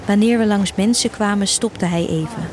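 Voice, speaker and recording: A woman's voice: medium pitch, normal speed, a little mellow, a little hiss or hum. A woman reading a literary first-person story as the narrator of an audio drama. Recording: music behind the voice. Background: music.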